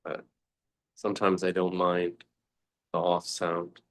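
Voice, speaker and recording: A man giving a guided meditation talk as a teacher, speaking contemplatively in short phrases with long pauses between them. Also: low voice, monotone, a bit bright.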